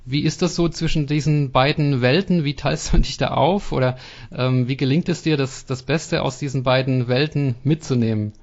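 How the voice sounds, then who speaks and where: A man's voice: medium pitch, normal speed. Podcast host man asking questions. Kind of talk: interview questions. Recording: dull lo-fi podcast audio.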